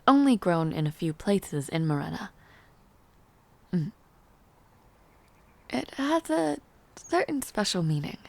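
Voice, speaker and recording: A woman playing a refined, gentle, tired noblewoman in a fantasy audio roleplay, speaking formally and softly in measured phrases with hesitant pauses. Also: on the low side, creaky, exaggerated voice. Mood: wistful, hesitant. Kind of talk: fantasy audio roleplay, intimate one-sided dialogue.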